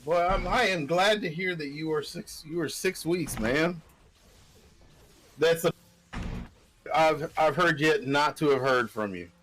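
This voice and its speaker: A man's voice: on the high side, unhurried, long pauses, fairly bright tone, faint music behind. A man in his forties chatting in an easygoing, joking way over voice chat. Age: 40s. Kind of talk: casual voice chat while gaming. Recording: noisy voice chat.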